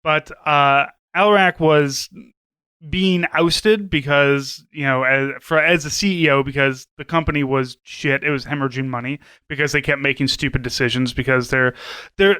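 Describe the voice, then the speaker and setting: A man's voice: mid-range voice, fairly even intonation, normal speed, pushed and bright, clear, resonant tone, dry recording. A man co-hosting a podcast, giving casual commentary in a rambling, wry, sweary way. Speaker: podcast co-host.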